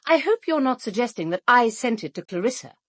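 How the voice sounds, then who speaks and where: An actress playing a haughty, defensive, sharp-tongued suspect in a radio play, with an arch, prickly manner. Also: female voice, fairly high pitch, sing-song, brisk, no echo, clean studio sound.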